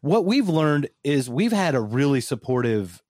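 A man's voice: a little high, big pitch swings, a little slow, a little mellow, dry recording, clean studio sound. A man hosting a casual podcast interview, bantering and joking with his guests. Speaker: podcast host.

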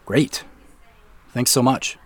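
An actor playing an anxious, stubborn amateur investigator in a naturalistic audio drama, speaking in an earnest, hesitant, conversational way. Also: male voice, slow pace, lightly acted. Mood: polite, hesitant.

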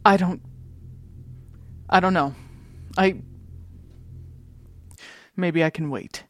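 A woman's voice: fairly low pitch, extremely slow. An actress playing a conflicted, guarded woman revolutionary in a naturalistic audio drama. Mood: hesitant, subdued.

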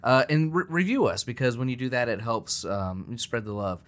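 A man co-hosting a podcast, talking casually and plugging the show. His voice sits at a middle pitch. He sounds loose and conversational. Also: normal speed. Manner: chatty, reads listener review aloud. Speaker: podcast co-host. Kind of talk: casual chat.

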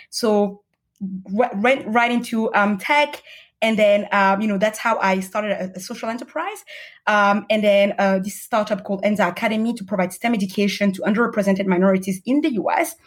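A woman from Cameroon and Madagascar speaking as an interview guest, explaining her path in an earnest, conversational way. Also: medium pitch. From Cameroon and Madagascar, partly raised in Paris. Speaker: podcast guest, startup investor. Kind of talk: conversational interview answers, personal narrative.

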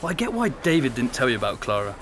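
An actor playing a sociable young former housemate, chatty and frank, in a naturalistic audio drama. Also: male voice, mid-range voice, sing-song, fast, natural voice. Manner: chatty, conversational. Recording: faint pub background noise.

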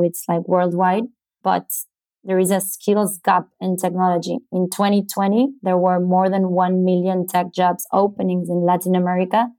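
Argentine woman, even low-pitched voice, halting delivery. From Buenos Aires, Argentina. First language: Spanish. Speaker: interview guest, startup co-founder. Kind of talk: explanatory interview answers.

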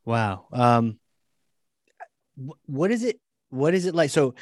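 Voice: medium pitch, very animated, takes it slowly, a little mellow, no echo, studio quality. A host asking a guest eager questions in a casual interview. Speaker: podcast host.

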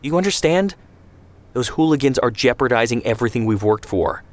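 A man's voice: mid-range voice, moderate pace, pitched above the performer's own voice, natural voice. A man voicing an idealistic young man who argues with rising outrage. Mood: heated. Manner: outraged, pleading.

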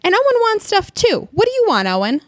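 A woman's voice: very high-pitched, huge pitch swings, quick pace, dry recording, exaggerated voice. A woman playing a scheming, sarcastic, pushy human in an audio play, out for her own interests. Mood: indignant. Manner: sardonic, pushy.

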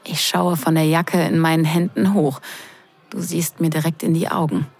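A woman acting in an intimate audio drama as a teasing wedding guest who is drawn in, breathy and hushed. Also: sits low, little pitch movement, heavily acted. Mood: hushed, tender. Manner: breathy, hushed, slow and sensual delivery.

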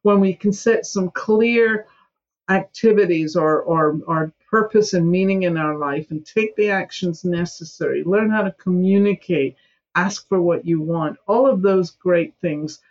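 A woman's voice: fairly low pitch, a little slow, darkish tone, muffled recording. A woman in her seventies from Scotland, a guest in a podcast interview, speaking emphatically and sometimes mimicking whiny excuses. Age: 70s.

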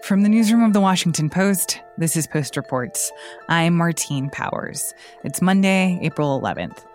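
Low-voiced woman news podcast host. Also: animated, moderate pace, a little mellow, soft background music. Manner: conversational questioning.

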